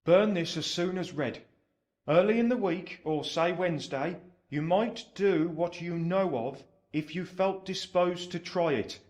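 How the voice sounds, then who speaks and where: An actor playing a cautious Victorian law clerk in a radio play, reading out a letter in a clipped, conspiratorial manner. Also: male voice, high voice, a little slow, darkish tone. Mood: secretive.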